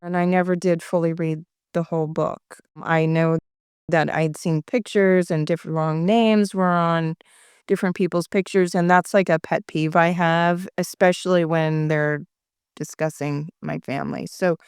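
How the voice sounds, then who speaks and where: A woman's voice: lowish voice, fairly even intonation, moderate pace, darkish tone, clear, resonant tone, dry recording, studio quality. A woman speaking as a documentary interviewer, recounting and asking questions in a reflective style.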